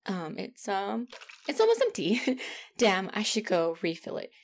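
A woman acts out a young demigod trainee in an audio roleplay. She is feisty and chatty, easily flustered, with a lively swing in pitch. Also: measured pace, exaggerated voice. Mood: flustered, nervous. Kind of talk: ASMR roleplay acting.

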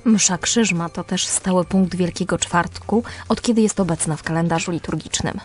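A woman's voice: lowish voice, moderate pace, soft background music. A middle-aged woman, a radio host, putting questions to a guest in a studio. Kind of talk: morning interview questions. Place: small radio studio, indoors. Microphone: studio microphone on boom arm, headset worn.

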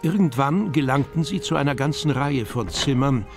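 A man's voice: medium pitch, expressive, moderate pace, gravelly. A man narrating a children's fantasy radio play as a storyteller. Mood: calm storytelling. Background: music.

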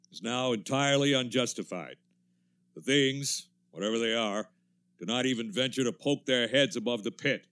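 An actor playing a confident militia captain reporting from the field in a 1930s-style radio drama, in a clipped military manner. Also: male voice, medium pitch, fairly bright tone, lightly acted.

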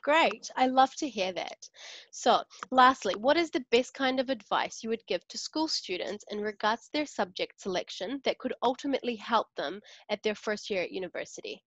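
A woman's voice: on the high side, animated, normal speed, fairly bright tone, no room sound. A young woman interviewing for a university, asking polished, upbeat questions over a video call. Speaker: interviewer from student liaison. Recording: dull lo-fi call audio.

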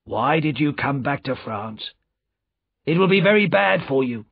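A man voicing a quiet, wary revolutionary wine-shop keeper with a confiding, probing manner in a storybook reading. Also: medium pitch, animated, a little slow, vocal fry, slightly rough, no echo, narrow, tinny audio, full character voice.

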